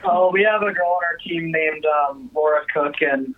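A woman's voice: sits low, normal speed. A young woman, a hockey player guest, calling in and answering cheerfully with laughs as she talks. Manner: cheerful, laughs while talking. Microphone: phone line. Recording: tinny phone line with faint hiss.

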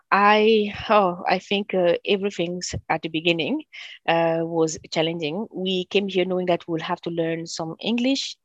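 A woman with a Cameroonian French accent speaks in a fairly low voice, recorded close and dry with no room sound. She tells her story as an interview guest, lively and animated when she quotes other people. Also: no echo, muffled recording. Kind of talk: interview conversation.

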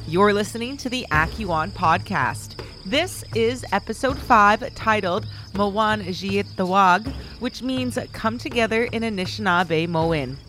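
A woman's voice: medium pitch, unhurried, a bit bright, slight background noise. A Canadian woman reading a scripted podcast introduction as its host. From Manitoba, Canada.

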